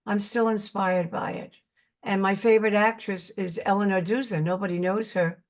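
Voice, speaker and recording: A woman's voice: medium pitch, fairly even intonation, moderate pace. An older actress with a New York accent, talking earnestly in an interview and often repeating words as she searches for them. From Brooklyn, New York. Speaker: actress guest. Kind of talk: casual interview chat.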